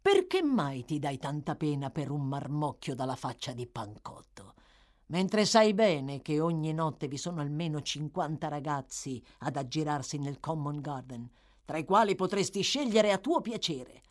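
Woman playing a blunt, forceful male burglar. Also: sits low, very animated, unhurried, full character voice. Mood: irritated. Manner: blunt, scowling, demanding.

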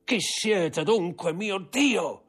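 A man reading a story aloud and voicing a grieving, terrified prosecutor who cries out in a strained, high voice. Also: expressive, a little slow, gravelly, breathy edge, soft background music, full character voice. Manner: shrill desperate cries, pitched far above his narration.

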